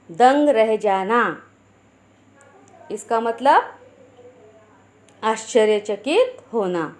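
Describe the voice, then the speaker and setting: A woman teaching a Hindi lesson to schoolchildren, slowly explaining idioms and sayings, asking questions and then answering them herself. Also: a little high, a little mellow.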